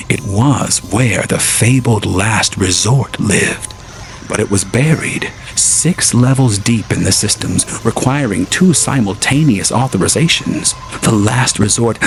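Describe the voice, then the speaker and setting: A man narrating an audio drama in a measured, grave storytelling manner. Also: on the low side, gravelly.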